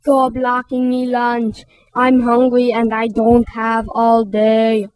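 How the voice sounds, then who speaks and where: A woman doing Rudy, a slow gruff bully elephant. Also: on the high side, monotone, darkish tone, distant mic, rough recording. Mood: bossy. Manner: slow, gruff, bossy drawl. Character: big pudgy bullying male elephant.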